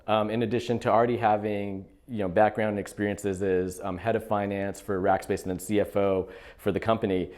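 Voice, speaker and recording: A man's voice: fairly low pitch, darkish tone. A man hosting an interview show, asking polite questions in a hesitant way with repeated words and filler sounds. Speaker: host.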